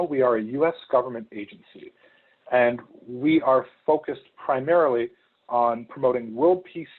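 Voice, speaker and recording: A young man from Ohio talks through an information session in a loose, conversational way, dropping plenty of ums. His voice sits at an ordinary middle pitch. The sound is thin and narrow, like a video call. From Ohio, United States. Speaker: returned volunteer presenter.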